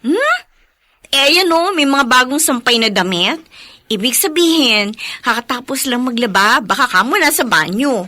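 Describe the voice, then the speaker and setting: Bubbly high-pitched gal pal. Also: female voice, sing-song, normal speed, sharp tone, full character voice. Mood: chirpy. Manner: chirpy, perky. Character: bubbly, upbeat pageant-world girlfriend of the heroine. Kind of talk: Filipino radio drama acting.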